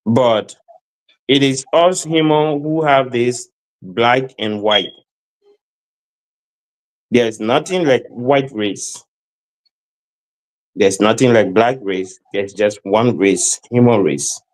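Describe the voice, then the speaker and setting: A man's voice: mid-range voice, slow, long pauses, rough recording. A man speaking as a guest in a discussion, emphatic and lecturing, who repeats phrases and stammers as he builds his point. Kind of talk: lecturing opinion.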